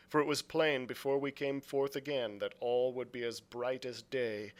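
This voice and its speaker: A man narrating a storybook aloud as a librarian would, with deliberate, dramatic phrasing. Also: mid-range voice. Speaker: youth services librarian reading aloud.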